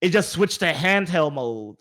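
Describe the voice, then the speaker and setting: A man's voice: fairly high pitch, expressive, measured pace, fairly bright tone. A man hosting a casual video game podcast, thinking aloud in a rambling way and repeating his words. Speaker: podcast host.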